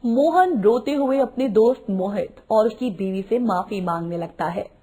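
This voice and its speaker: A woman with a husky voice narrating a scripted story in an expressive storytelling style. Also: fairly high pitch, unhurried.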